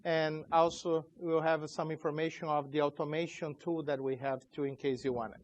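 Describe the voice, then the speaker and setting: Man in his 40s, a bit high-pitched, informal conference presenter. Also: little pitch movement, muffled recording. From Chile, raised in Brazil. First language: Portuguese. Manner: informal, halting, loose grammar. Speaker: conference speaker, company CEO. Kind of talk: tech conference talk. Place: conference room.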